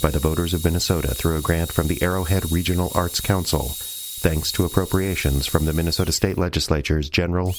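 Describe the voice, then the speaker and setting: A man's voice: low-pitched, steady tone, a little fast, background noise. A man reading the credits and a friendly, earnest appeal to listeners at the end of a podcast. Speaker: podcast announcer. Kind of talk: scripted announcement.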